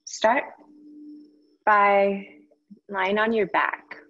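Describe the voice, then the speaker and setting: A woman teaching yoga meditation, speaking gently and unhurriedly in short phrases with long pauses between them. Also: mid-range voice, little pitch movement, muffled recording. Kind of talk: guided meditation instruction.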